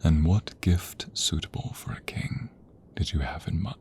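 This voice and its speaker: A man acting as a devoted, tender king in a romantic audio roleplay. His voice is very low and breathy, often slipping into a whisper. He speaks slowly and intimately, with plenty of feeling for his queen. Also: darkish tone. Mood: gentle, puzzled. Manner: slow, intimate, emotive delivery.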